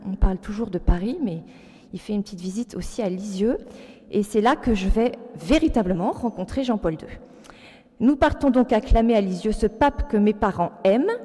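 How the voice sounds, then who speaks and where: A woman in her forties speaks earnestly to an audience, moving between prepared reading and spontaneous asides. Her voice is slightly high and notably warm and mellow. A long reverb from the large hall trails her words. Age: 40s. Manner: earnest, alternating read text with spontaneous asides. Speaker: speaker giving a testimony. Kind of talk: public personal testimony, part read aloud.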